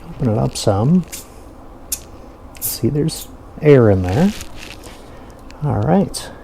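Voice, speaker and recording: A man giving a casual hands-on product review, explaining things step by step in an unhurried way. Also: medium pitch, big pitch swings, gravelly, mellow, a little hiss or hum, low-quality recording.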